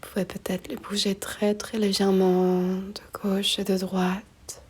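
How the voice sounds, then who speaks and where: Hushed, breathy woman guiding meditation. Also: medium pitch, little pitch movement. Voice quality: soft, breathy, near-whisper. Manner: gentle, hushed, unhurried instructions with long pauses. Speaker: yoga nidra guide. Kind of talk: slow guided meditation.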